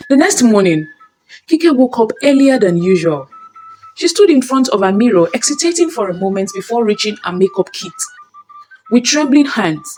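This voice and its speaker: A woman narrating a scripted dramatic story in a measured, deliberate storytelling manner. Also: mid-range voice, darkish tone, poor audio.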